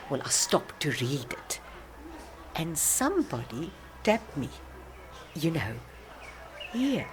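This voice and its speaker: Proud old south african lady drinker, slow rambling radio monologue, voice swings up and down, bit of background noise. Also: deep voice. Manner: rambling, halting, reminiscing, defensive. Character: older proud alcoholic South African woman, rambling, self-justifying. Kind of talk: dramatic monologue, radio play.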